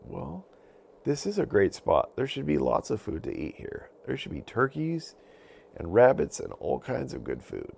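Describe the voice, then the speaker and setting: A man's voice: medium pitch, huge pitch swings, a little slow, vocal fry, darkish tone, a little hiss or hum, voice pushed up, exaggerated voice. A man voicing a brave, helpful hero in a children's story, confident and protective, speaking in firm declarations. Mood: reassuring.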